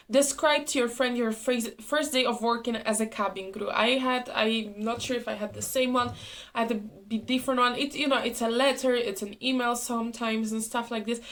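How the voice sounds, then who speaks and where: A young woman, a former cabin crew member whose first language is not English, chatting casually to her viewers with many fillers and restarts, her voice a little hoarse from a cold. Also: a little high. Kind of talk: casual chat to camera.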